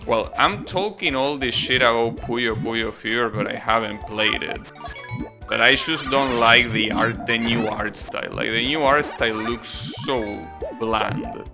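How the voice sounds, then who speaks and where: Slow-paced man streaming over loud game music. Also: medium pitch, vocal fry. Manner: opinionated, grumbling, mild swearing. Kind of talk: casual live gaming commentary, chatting with viewers. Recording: game music playing behind the voice. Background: video game music.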